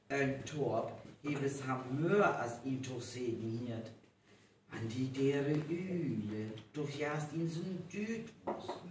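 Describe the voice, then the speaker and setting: Man doing a slow village guy in a radio play, pitch goes up n down, far from mic, echoey. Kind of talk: live stage radio play acting in North Frisian. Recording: echoey hall, distant, noisy live recording.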